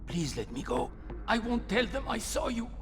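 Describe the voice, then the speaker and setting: An actor playing a hot-tempered but cowardly smuggler boss in a video game, theatrical. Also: male voice, very high-pitched, very animated, moderate pace, music in the background. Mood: terrified pleading. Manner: theatrical, swings from boastful to grovelling.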